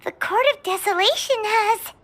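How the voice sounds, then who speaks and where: A woman voicing a tiny, excitable childlike fairy companion in a video game, with a squeaky, piping voice. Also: normal speed. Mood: alarmed. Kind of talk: cartoonish video game voice acting.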